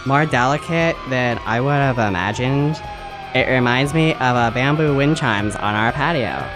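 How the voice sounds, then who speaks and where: A man's voice: medium pitch, moderate pace, over music. A man speaking as a podcast book club panelist and reader. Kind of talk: casual chat and dramatic book reading.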